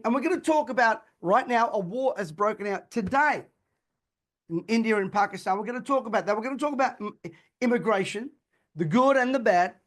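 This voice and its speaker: A man's voice: sits high, a bit bright. A man hosting an interview, earnest and apologetic, whose first language is Calabrian. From Australia. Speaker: host.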